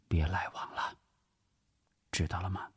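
A man voicing a gentle but firm older woman in an audiobook, soft, breathy and coaxing. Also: deep voice, unhurried, heavily acted. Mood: quiet, firm.

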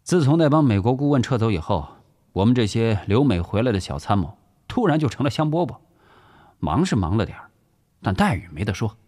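A man voicing a confident young staff officer in an audiobook, talkative, breezy and forceful. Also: medium pitch, big pitch swings, brisk. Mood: breezy, proud.

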